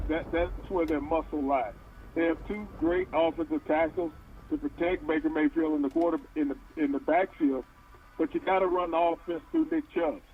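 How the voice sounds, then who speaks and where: Co-host guy making quick confident football picks, muffled lo-fi line, bit of hiss. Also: on the high side. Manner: short confident verdicts, predicts standings. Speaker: sports talk co-host. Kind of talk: casual sports talk.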